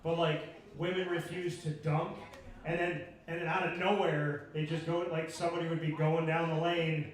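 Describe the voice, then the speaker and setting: A man hosting a casual live on-stage interview, joking and encouraging in an animated way. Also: a little high, normal speed. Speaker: show host. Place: pub stage, in front of an audience. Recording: echoey pub, distant mic, crowd chatter.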